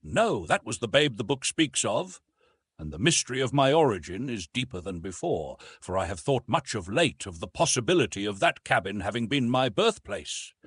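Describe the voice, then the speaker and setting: A man's voice: mid-range voice, sing-song, fairly bright tone, husky, no room sound. Man reading as a proud, blunt jungle man. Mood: grave, sad. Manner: grave, formal, deliberate wording.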